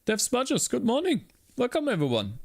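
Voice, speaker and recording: A man's voice: very high voice, wildly swooping pitch, normal speed, vocal fry, darkish tone, dry recording, clean studio sound. A man streaming his coding work, talking through his steps and greeting chat viewers by name. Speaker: livestreaming game developer.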